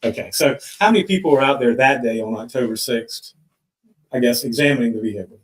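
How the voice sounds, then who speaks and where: Male lawyer grilling a witness, medium pitch, leading questions, lots of echo. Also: fast. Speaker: defense attorney. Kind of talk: courtroom questioning. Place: courtroom. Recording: echoey courtroom playback on live stream.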